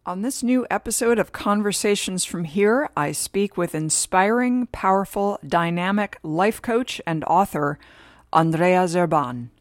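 A woman's voice: lowish voice, lively intonation, unhurried, dry recording, clean studio sound. A woman hosting an interview podcast, reading an upbeat scripted introduction and then chatting with her guest. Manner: enthusiastic, emphatic list delivery. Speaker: podcast host.

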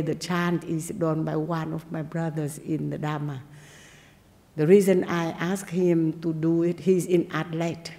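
A woman Buddhist nun, not a native English speaker, giving a gentle dharma talk with simple phrasing and pauses. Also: low-pitched, creaky, long reverb tail. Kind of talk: dharma talk and meditation teaching.

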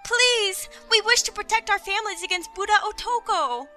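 Cast: eager pleading villager, played by a woman, extremely high and very bright. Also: moderate pace, faint music behind. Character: eager young villager, begging to be taught.

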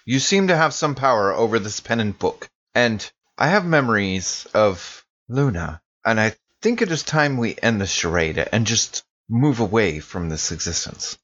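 A man's voice: on the low side, big pitch swings, normal speed, few pauses, a bit bright. A man playing a tabletop role-playing game, speaking in character, on a dull lo-fi recording.

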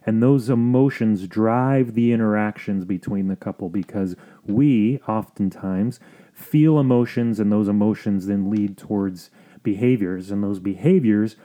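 A man with an American accent hosting an explanatory podcast as a counselor, talking in a measured, earnest way with pauses. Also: on the low side, warm tone. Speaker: podcast host and family counselor.

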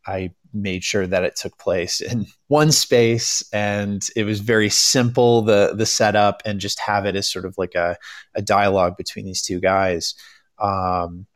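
A man speaking as a panel guest and creator, casual and reflective, candid and halting as he goes. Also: on the low side, dry recording.

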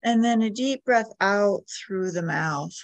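A woman leading a guided meditation as a podcast host, giving soothing, gentle instructions with long pauses. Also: mid-range voice, animated, no room sound.

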